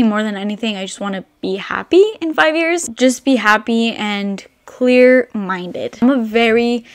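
A woman in her twenties, raised in Albania, chatting to camera in a relaxed Q&A and filling her talk with "like". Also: on the high side. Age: 20s. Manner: chatty, rambling, full of "like" fillers. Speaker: vlogger. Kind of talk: casual Q&A chat to camera. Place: indoor room with brick wall and shelves.

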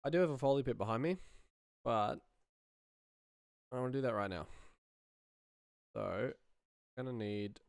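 A man in his thirties, a sound designer, thinking aloud casually in low-key short fragments as he works in a small studio. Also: normal speed, gravelly, long pauses, a little mellow, clean tone, no echo. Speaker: sound designer, tutorial host. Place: small home studio room indoors.

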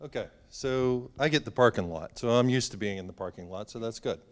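A man speaks to an audience through a handheld mic in a library auditorium. He talks in a relaxed, conversational way with a joking edge, at an ordinary middle pitch. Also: brisk. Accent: New England. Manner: conversational, jokey. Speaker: presenter. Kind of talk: public presentation.

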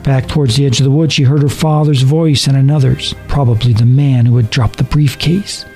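A man reading a horror story as a dramatic narrator, deliberate and suspenseful. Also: mid-range voice, fairly even intonation, dark tone. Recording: narration over background music.

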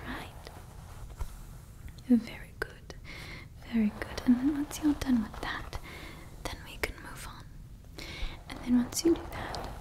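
A woman's voice: fairly high pitch, mellow, natural voice, poor audio. A woman whispering as an evil scientist. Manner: whispered throughout, rambling with fillers. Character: scheming evil woman scientist, motherly to her android. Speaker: ASMR creator. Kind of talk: ASMR roleplay.

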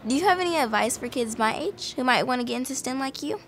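A woman's voice: high-pitched, expressive, fast talker, fairly bright tone, a little hiss or hum. A young teenage girl hosting an interview show with upbeat scripted lines and curious questions, recorded in a studio. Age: young teen. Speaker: student interviewer, show host. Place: studio with plain backdrop. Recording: music bed underneath.

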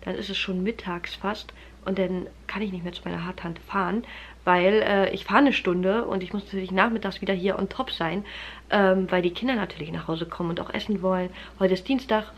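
A woman's voice: mid-range voice, fairly even intonation, brisk. A woman in her thirties with a stuffy nose from a cold, chatting casually to the camera in her living room, full of fillers and friendly asides to viewers. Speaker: family vlogger. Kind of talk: casual vlog chat to camera. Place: living room at home, indoors. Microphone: camera held close at arm's length.

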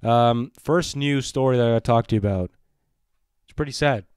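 Young man, mid-pitched, voice fading, throat clearing, casual rambling, dry sound. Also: a little fast, darkish tone, dry recording. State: losing his voice. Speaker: wrestling news vlogger. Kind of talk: casual news vlog.

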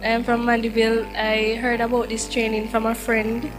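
Young jamaican woman, highish voice that barely moves, music playing clearly behind. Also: completely flat delivery, normal speed, poor audio. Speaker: trainee. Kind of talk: short testimonial. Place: indoor room, seated. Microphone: handheld mic. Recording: background music.

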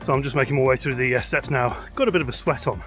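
A man's voice: medium pitch, rapid-fire. A man in his forties from the UK, a landscape photographer vlogging outdoors in a wooded glen, talking casually with lots of fillers and restarts into a camera held at arm's length. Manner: chatty, rambling with many fillers and restarts. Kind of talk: casual vlog narration. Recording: with music playing underneath.

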